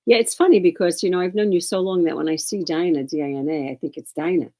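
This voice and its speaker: A woman co-hosting a casual knitting podcast, talkative and teasing, laughing a lot, with a husky voice. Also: on the low side, fast talker, dark tone. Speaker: podcast co-host. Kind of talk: casual chat.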